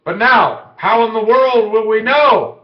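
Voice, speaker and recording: A man voicing boastful, snobbish beaked creatures from a children's storybook, declaiming loudly and haughtily. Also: high-pitched, measured pace, pitched above the performer's own voice. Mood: smug, worried. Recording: muffled lo-fi, echoey room.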